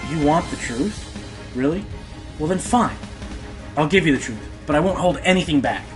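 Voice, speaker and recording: Guy as flustered pony radio host, bit high pitched, stammery, earnest, defensive, music behind. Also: lively intonation, normal speed, close to an everyday voice. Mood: exasperated. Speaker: interviewed show host. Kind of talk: conversational radio play dialogue. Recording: music under the voice.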